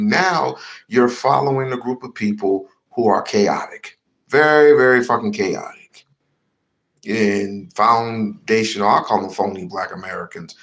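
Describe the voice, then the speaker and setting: A man's voice: on the low side, fairly bright tone. A man hosting a heated commentary rant, slangy and swearing, repeating himself for emphasis. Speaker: commentary show host.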